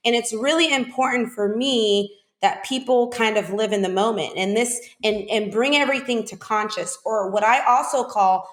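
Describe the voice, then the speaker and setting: A woman's voice: fairly high pitch, normal speed, hardly any pauses. A woman hosting a conversational podcast interview, speaking informally and rephrasing as she goes. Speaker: podcast host, doctor. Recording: roomy, echoey sound.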